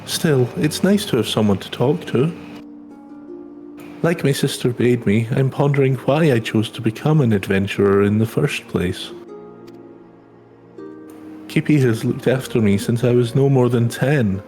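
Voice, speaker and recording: A man's voice: medium pitch, measured pace, a little mellow, soft background music, natural voice. A man voicing an insecure young adventurer from a fantasy game, hesitant, earnest and polite. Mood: earnest, brooding. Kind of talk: reading game dialogue aloud in character.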